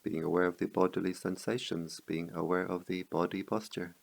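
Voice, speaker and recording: A man guiding a meditation as a teacher, speaking calmly in measured short phrases with long pauses. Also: sits low, vocal fry. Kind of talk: guided meditation.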